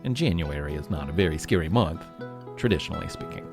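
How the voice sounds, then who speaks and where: A man's voice: extremely low pitch, lively intonation, fast, vocal fry, over music. A man with an American accent narrating a scripted history podcast as host, telling it like a storyteller to young listeners.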